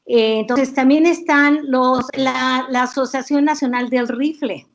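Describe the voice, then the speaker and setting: A woman giving an explanatory interview as an academic expert, in a didactic, deliberate way with hesitant pauses. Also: high voice, fairly even intonation, creaky.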